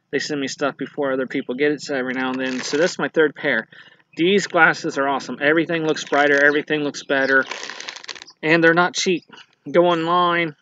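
A man, talking casually and rambling to camera outdoors at dusk as a fishing channel host, with frequent ums and restarts. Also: mid-range voice. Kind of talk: casual product review to camera. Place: outdoors in a grassy yard at dusk. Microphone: built-in camera mic at arm's length. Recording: dull lo-fi camera audio with faint noise.

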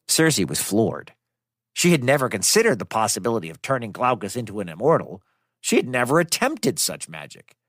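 A man's voice: medium pitch, wildly swooping pitch, a little fast, a bit bright, dry recording, studio quality. A man narrating a children's myth as storyteller, in a playful, conversational way. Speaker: storyteller host.

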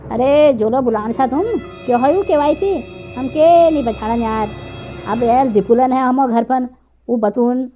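Cartoon role for a woman: exasperated, gullible rural mother, Kumaoni accent, grumbling delivery, fairly high pitch. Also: a little slow, dark tone, soft background music. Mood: puzzled, wary. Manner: grumbling, rustic. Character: gullible, exasperated village mother of a grown son. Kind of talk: comic cartoon dialogue.

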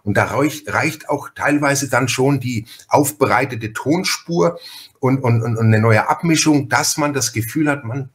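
A man hosts a casual podcast conversation. His voice sits at an average pitch, and he rambles along, often doubling up on words. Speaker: podcast host. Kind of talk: casual chat.

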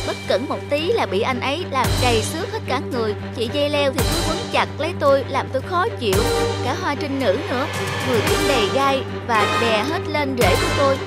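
A woman's voice: sits high, expressive, fairly quick. A woman voicing a whiny, manipulative young female flower in a children's cartoon, plaintive and complaining. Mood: self-righteous complaining. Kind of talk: children's moral cartoon dubbing. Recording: loud music under the voice.

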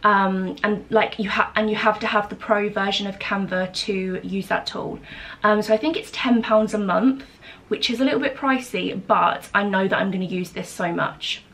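A woman's voice: mid-range voice, normal speed, a bit bright. A British woman in her twenties chatting casually and informally to camera as a lifestyle vlogger, in a room at home. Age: 20s. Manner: informal, chatty, frequent "like" and "literally". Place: small home office room indoors.

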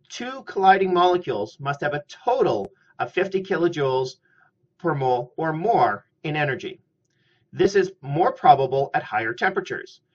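A middle-aged man giving a scripted chemistry lecture at a steady, methodical pace, recorded on a webcam in a small room. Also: on the high side. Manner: measured, methodical explaining. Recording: slightly muffled lo-fi audio.

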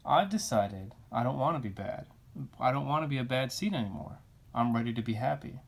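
A man voicing a self-confessed bad sunflower seed from a children's picture book, with dramatic, deliberate delivery. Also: medium pitch, animated, creaky. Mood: earnest, hopeful.

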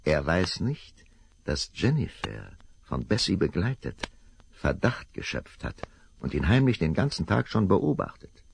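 A man narrating a 1970s radio play in a measured, suspenseful way, from a vinyl recording. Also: low-pitched, sing-song, gravelly. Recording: vinyl rip, faint noise.

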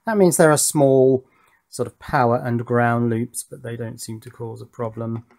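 A man presents casually from his workbench. His voice sits at a middling pitch. He talks through his work methodically, thinking aloud as he explains each step. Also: fairly even intonation, normal speed, gravelly, darkish tone. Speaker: electronics hobbyist presenter. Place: indoors at an electronics workbench.

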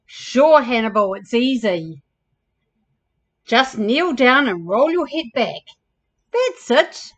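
Woman voicing an upbeat high-pitched dancing ostrich. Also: animated, takes it slowly, pauses often, fairly bright tone, exaggerated voice. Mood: encouraging. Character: upbeat, encouraging male ostrich who loves to dance.

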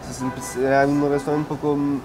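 A man's voice: a little high, level tone, quick pace, over music. A man in his twenties from France speaking Spanish with a French accent, answering interview questions as a chef, hesitant with many fillers. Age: 20s. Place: restaurant dining room, indoors. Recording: noisy restaurant ambience.

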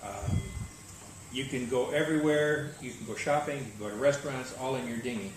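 A man's voice: mid-range voice, a little fast. An older man organizing cruising services, answering interview questions in an easygoing, matter-of-fact way on a tropical veranda. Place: open-air veranda, tropical. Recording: echoey, distant, noisy outdoor veranda.

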